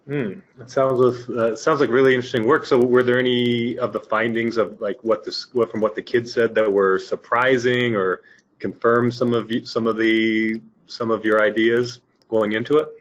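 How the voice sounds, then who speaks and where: A man's voice: mid-range voice, level tone, normal speed, highs cut off. A man moderating a webinar, asking questions in a hesitant way with many restarts and fillers. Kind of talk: casual interview questions.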